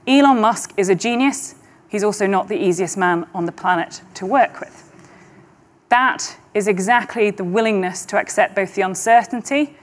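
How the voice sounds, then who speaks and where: Middle-aged woman on a conference stage, calm persuasive pitch, mid pitched, steady. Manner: measured, confident, persuasive delivery. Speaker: investment trust client director presenting. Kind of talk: conference presentation. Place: large conference auditorium stage.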